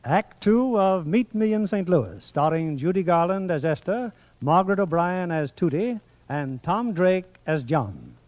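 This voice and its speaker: A man hosting a radio theatre, narrating from a script in a theatrical, measured 1940s style. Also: sits high, animated, darkish tone, no room sound. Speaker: radio theatre producer and host. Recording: dull old radio recording with music.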